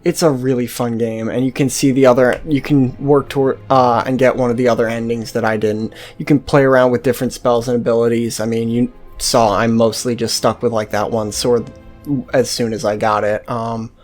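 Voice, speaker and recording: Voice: medium pitch, little pitch movement, moderate pace, darkish tone, soft background music. A game series host giving casual, sweary let's-play commentary in a rambling, hesitant way.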